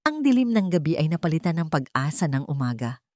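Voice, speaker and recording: Low-voiced woman narrating a dramatic story. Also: sing-song, fairly quick, a little mellow, no echo, studio quality.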